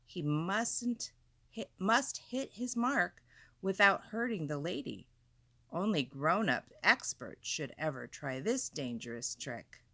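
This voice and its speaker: A woman's voice: lowish voice, expressive, takes it slowly, sharp tone. A woman reading a picture book aloud to children, with dramatic emphasis and chatty asides to her listeners. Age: adult. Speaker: storyteller, teacher reading to children.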